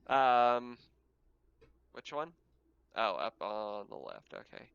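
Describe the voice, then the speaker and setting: A man's voice: on the low side, big pitch swings, slow, creaky, fairly bright tone, clear, resonant tone. Man running a tabletop game, muffled recording. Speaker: game master. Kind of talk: narrating a tabletop game, casual.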